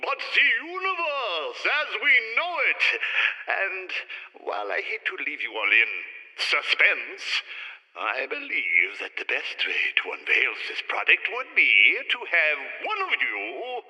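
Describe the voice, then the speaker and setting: An actor playing a suave, scheming giraffe baron and corporate tycoon in a retro sci-fi radio play, grandiose and calmly menacing. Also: male voice, mid-range voice, in a large hall, full character voice, low-quality recording.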